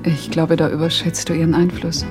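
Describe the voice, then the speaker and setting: A woman's voice: sits low, level tone, fairly quick, natural voice. A woman playing a sceptical, probing confidante in a radio drama, restrained and questioning in manner. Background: music.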